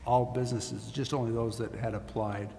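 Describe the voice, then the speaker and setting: A man giving a formal, deliberate clarification at a meeting as a city official. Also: medium pitch, flat delivery, gravelly, dark tone. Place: indoor council chamber. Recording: reverberant chamber with faint noise.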